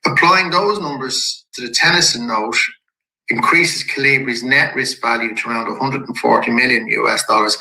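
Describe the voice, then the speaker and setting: A man with a croaky voice from a head cold, giving a measured presentation to shareholders. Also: mid-range voice, bright, forceful tone, reverberant, poor audio. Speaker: company executive presenting to shareholders. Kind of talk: investor presentation.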